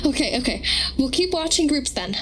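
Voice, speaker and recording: A woman playing a wary, sharp-tongued crew member in an audio drama, skeptical and matter-of-fact. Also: high voice, big pitch swings, brisk, over music.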